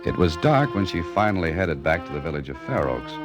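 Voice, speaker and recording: Deep-voiced, wry radio sleuth. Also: male voice, big pitch swings, a little fast. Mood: tense narrating. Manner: dry, understated, teasing. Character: cool, wry novelist turned amateur sleuth, a man. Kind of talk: 1940s radio drama acting and first-person narration. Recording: vintage radio recording with music.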